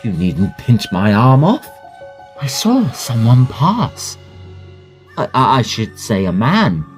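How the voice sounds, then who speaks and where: An actor playing a sceptical, kindly, fussy Victorian gentleman doctor in a radio drama, proper and measured in manner. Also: medium pitch, wildly swooping pitch, faint music behind. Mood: puzzled.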